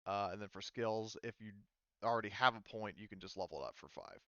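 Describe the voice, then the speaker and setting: A man's voice: lowish voice, brisk, no echo, muffled recording. A man running a tabletop roleplaying game as game master, talking casually and hesitantly with many ums. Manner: relaxed, many ums and restarts.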